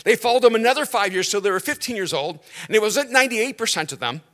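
A middle-aged man from El Salvador, a native Spanish speaker, preaching a sermon as an animated storyteller who stretches key words and drops dry jokes. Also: high voice, quick pace. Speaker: pastor.

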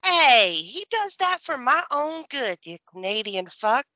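Persuasive, devoted wrestler's wife and manager played by a woman, crisp bright tone, fairly high, comic audio play. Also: sing-song, measured pace, no room sound, muffled recording, full character voice. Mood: defensive. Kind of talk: comedic audio play acting.

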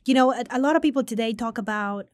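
A woman's voice: on the high side, fast talker, no room sound, clean studio sound. A middle-aged woman from Mexico, a guest executive talking earnestly in an interview and often restarting her words. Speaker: guest, business consulting executive. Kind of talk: conversational interview.

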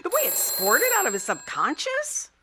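An actress playing a brisk, wry female FBI agent in an audio drama. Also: sits high, huge pitch swings, noisy background. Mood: smug, amused.

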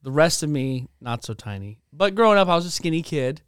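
Playful pastor guest on a podcast. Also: male voice, fairly high pitch, big pitch swings, a little fast, clear, resonant tone, dry recording, clean studio sound. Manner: playful, jokey, laughs often. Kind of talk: casual chat.

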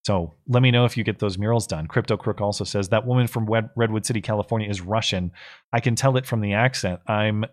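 Measured man co-hosting political talk. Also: on the low side. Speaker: co-host of a political talk livestream. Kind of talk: casual political commentary, reading viewer messages aloud.

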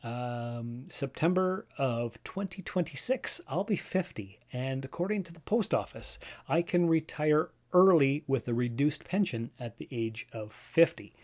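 A man's voice: medium pitch, darkish tone. A man in his forties hosting a casual solo show, rambling with frequent fillers and restarts and addressing his listeners directly. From Canada. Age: 40s. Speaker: podcast host.

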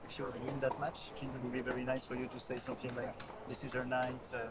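A man's voice: mid-range voice, monotone, quick pace. A man casually giving advice in conversation, recorded outdoors. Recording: distant, very noisy outdoor documentary audio.

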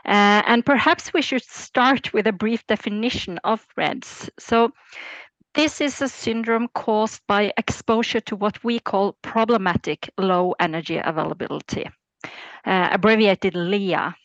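Norwegian woman in her forties, measured. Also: mid-range voice, no echo. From Norway. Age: 40s. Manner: careful, measured, frequent "uh" fillers. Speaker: guest expert, professor. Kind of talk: podcast interview.

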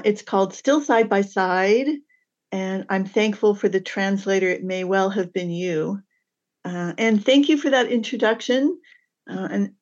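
A woman's voice: medium pitch, highs cut off. Gracious woman podcast host. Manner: gracious, with soft affirming murmurs. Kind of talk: interview hosting.

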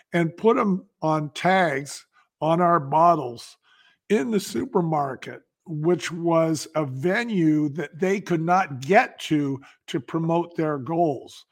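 An American man with a fairly high-pitched voice speaks as a guest in an interview. He tells his story conversationally and makes points emphatically, often posing a question and answering it himself. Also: gravelly. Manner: emphatic, uses rhetorical questions. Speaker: interview guest, company founder.

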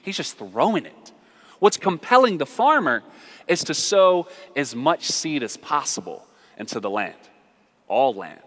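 An American man preaches in a lively, storytelling style, his pitch swinging widely. His voice is bright and forceful, and he repeats phrases for emphasis with conversational humor. It rings with the long reverb of a big hall. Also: a little slow. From southern United States. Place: large reverberant room, live before a congregation.

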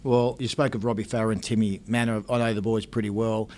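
A man's voice: on the low side, flat delivery, fast talker, a little mellow. A man hosting an interview, chatty and encouraging, sharing anecdotes. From Australia. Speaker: interviewer, host. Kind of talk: friendly interview chat.

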